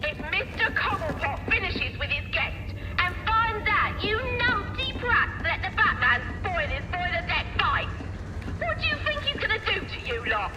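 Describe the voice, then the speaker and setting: A woman's voice: very high-pitched, sing-song, moderate pace, low-quality recording. A woman playing a bossy, sneering gangster henchwoman in a video game, scolding with a British accent. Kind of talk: video game character acting. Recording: loud music behind.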